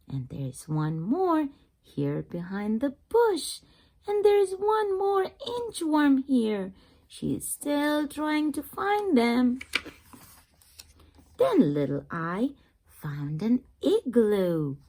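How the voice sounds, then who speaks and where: A woman's voice: fairly high pitch, dark tone. A woman reading a picture book aloud to young children in a teacherly manner, putting questions to the listeners. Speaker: children's read-aloud channel host.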